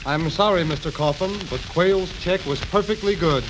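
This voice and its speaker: A hissy 1930s radio recording holds a high-pitched man acting a lawyer. He delivers his lines briskly and bluntly. The style is emphatic old radio comedy. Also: natural voice. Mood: grimly emphatic. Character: matter-of-fact lawyer bearing bad news.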